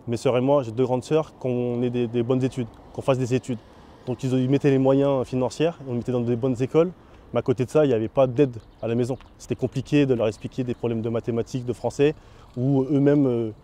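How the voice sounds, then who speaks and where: A man's voice: mid-range voice, mellow. A young French man giving a casual, hesitant interview testimony, full of fillers and restarts. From France.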